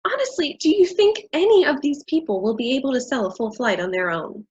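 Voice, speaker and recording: A woman's voice: high-pitched, expressive, brisk, a little mellow, husky, dull audio. An actress playing an exacting, scornful woman boss who lectures emphatically in a staged play reading.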